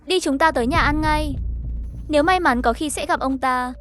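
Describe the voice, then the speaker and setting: A woman's voice: sits high, moderate pace, fairly bright tone, faint music behind. A woman reading a scripted story narration, voicing the dialogue lines herself as the narrator. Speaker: narrator of an animated horror story recap.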